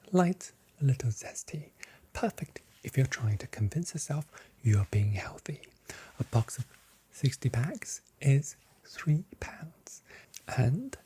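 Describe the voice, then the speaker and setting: A very soft, whispering voice in an ASMR role play as a grocery shop assistant, giving gently playful sales patter. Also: mid-range voice, slightly rough.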